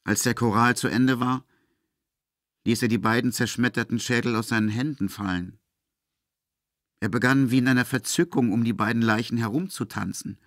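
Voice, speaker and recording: A man's voice: medium pitch, steady tone, moderate pace, no echo, clean studio sound. A man narrating a literary audio drama from a script.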